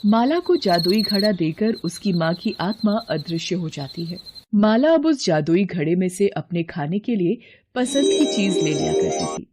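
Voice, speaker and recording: A woman's voice: on the low side, lively intonation, a little slow, over music. A woman reading scripted fairy-tale narration as the storyteller. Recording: clear background noise.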